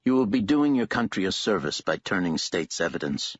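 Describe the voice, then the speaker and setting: A man's voice: low voice, sing-song, fairly quick, husky, no room sound, exaggerated voice. A man voicing a smarmy male government agent who stays syrupy-polite and calls everyone sir. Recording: dull, lo-fi audiobook recording.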